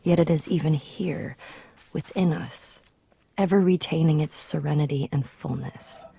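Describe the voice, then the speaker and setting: A woman reading literary quotations from a script in a measured, contemplative manner. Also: low voice, vocal fry, dark tone, slightly rough.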